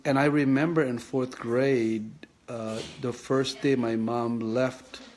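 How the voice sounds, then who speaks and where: A man in his fifties from the Philippines with a Filipino accent, telling his life story in a halting, reflective way with many fillers and restarts. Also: mid-range voice. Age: 50s. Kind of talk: personal storytelling.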